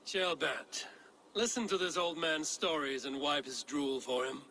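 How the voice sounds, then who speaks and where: A bright-voiced, stern Viking leader. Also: male voice, a little high, steady tone, slight background noise, low-quality recording. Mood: firm. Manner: measured, stern, commanding. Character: grave, level-headed Viking war leader. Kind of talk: dramatic video game acting.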